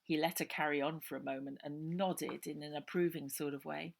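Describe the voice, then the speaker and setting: A woman's voice: deep voice, steady tone, a little fast, vocal fry. A woman reading a children's novel aloud as scripted story narration.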